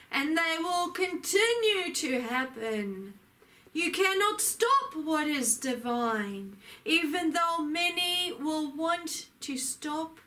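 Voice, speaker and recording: A woman delivering a channeled sermon, speaking as a divine lord in a solemn, declamatory way with frequent pauses. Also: sits high, pushed and bright. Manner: solemn, declamatory, pausing often. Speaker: spiritual channeler.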